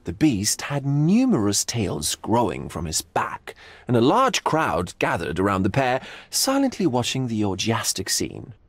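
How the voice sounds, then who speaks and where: A theatrical gallery owner for a game cutscene. He is languid and pompous, lingering on words with philosophical flair. His pitch rises and falls dramatically, in a dry, close recording. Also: wildly swooping pitch, a little slow, no echo, full character voice. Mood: musing.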